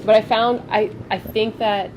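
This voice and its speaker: A woman's voice: mid-range voice, steady tone, unhurried, background noise. A woman hosting a family travel vlog, talking casually with a stuffed-up nose from a cold. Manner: chatty, candid, many fillers. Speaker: vlog host.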